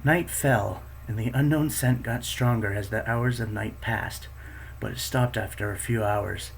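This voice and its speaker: A man narrating in the first person as a protective female raptor, the beta of her pack, in a steady storytelling way. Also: on the low side, a little slow, gravelly, a little hiss or hum, low-quality recording. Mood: calm.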